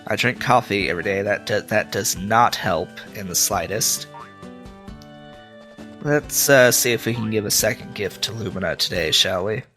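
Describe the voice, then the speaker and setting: A man's voice: fairly low pitch, normal speed, sharp tone, soft background music. A man with a stuffy nose from a cold, rambling through casual gameplay commentary with many filler sounds. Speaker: let's play host.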